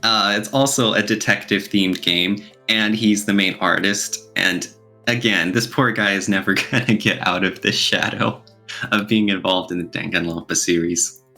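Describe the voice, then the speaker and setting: A man's voice: on the low side, steady tone, moderate pace, vocal fry, pushed and bright, soft background music. A man chatting casually as a podcast co-host, talking in an enthusiastic way.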